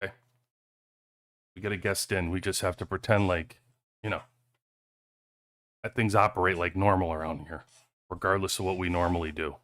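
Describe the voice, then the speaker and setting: A man's voice: sits low, fairly even intonation, normal speed, pauses often, fairly bright tone. A man hosting a livestream in loose, rambling casual chat with joking asides, talking into a cheap headset. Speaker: livestream host. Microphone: cheap headphones headset.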